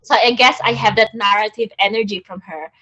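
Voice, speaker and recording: Soft-spoken woman on a video call. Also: medium pitch, fairly even intonation, fairly quick, highs cut off. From Philippines. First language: Tagalog. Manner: soft-spoken, searching, with frequent ums. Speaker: guest poet. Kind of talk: interview answers.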